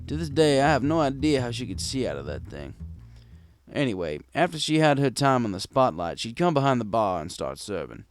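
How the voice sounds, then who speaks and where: A man's voice: mid-range voice, sing-song, a little fast, faint music behind. A man reading a first-person story in a wry, conversational storytelling manner. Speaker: fan fiction reader.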